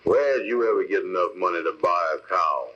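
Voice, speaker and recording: An actor playing a skeptical, questioning, folksy cab driver in a 1940s radio comedy. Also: male voice, low voice, brisk, pushed and bright. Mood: doubtful, puzzled. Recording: muffled old 1940s radio recording.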